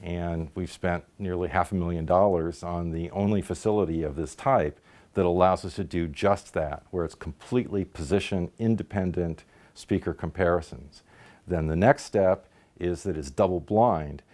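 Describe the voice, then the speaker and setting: A man's voice: low voice, normal speed, a little mellow. A man acting as company spokesman, giving a polished promotional explanation of a product.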